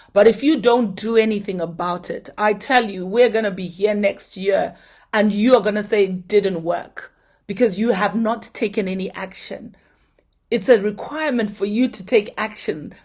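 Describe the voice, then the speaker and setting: Earnest woman exhorting a conference. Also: mid-range voice. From Zimbabwe. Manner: earnest, exhorting, asks the audience direct questions. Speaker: conference opening speaker. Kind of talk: motivational conference address.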